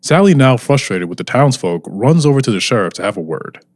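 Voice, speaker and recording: A man's voice: medium pitch, sing-song, fairly quick, darkish tone, dry recording. A man narrating a scripted radio drama as its storyteller.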